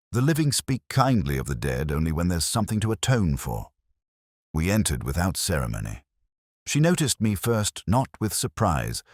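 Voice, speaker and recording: Male actor as sceptical, brooding detective narrator for a radio mystery; very deep, reflective, literary, dry close sound. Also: no room sound, heavily acted. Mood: wry, reflective. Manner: measured, ruminative, literary turns of phrase. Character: melancholy, sceptical English male police inspector narrating his case. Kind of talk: first-person scripted audio drama narration.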